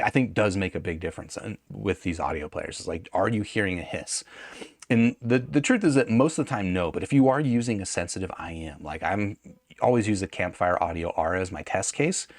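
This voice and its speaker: Low-voiced man giving a casual gear review. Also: no echo, clean studio sound. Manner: conversational, hedging and self-correcting. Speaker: audio gear reviewer and host. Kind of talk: casual unscripted product review.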